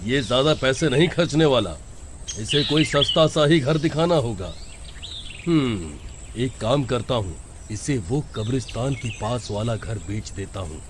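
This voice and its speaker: A man's voice: medium pitch, background noise, natural voice. A man voicing a sly, calculating landlord in a cartoon story. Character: sly, scheming male landlord. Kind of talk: cartoon voice acting.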